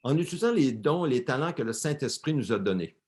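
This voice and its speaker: Man, church interpreter, mid-pitched voice, repeats words, self-corrects mid-phrase. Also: animated, brisk, a little mellow. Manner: searching, repeating words as he translates. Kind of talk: live sermon interpretation.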